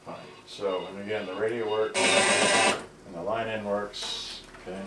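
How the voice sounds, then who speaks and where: A man speaks slowly and haltingly from some distance in an echoey room, with loud music behind him. He sounds like he is showing off gear off the cuff, muttering through each step. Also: lowish voice, steady tone. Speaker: repairer demonstrating serviced cassette players. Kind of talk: casual unscripted product demonstration. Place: indoors in a house.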